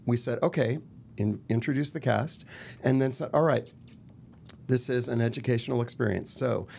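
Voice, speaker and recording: A man's voice: medium pitch, moderate pace, gravelly, a little hiss or hum. A middle-aged man talking animatedly as a guest in a podcast studio, speaking into a desk mic. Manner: animated, gestures a lot while talking. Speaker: guest, murder mystery company founder. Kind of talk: casual podcast interview. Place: purple-lit podcast studio. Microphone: desk mic on boom arm.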